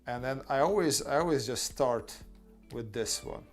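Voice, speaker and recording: A man's voice: mid-range voice, normal speed, faint music behind. A man hosting a casual art livestream, chatting with viewers and talking them through drawing steps. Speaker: artist streamer answering chat.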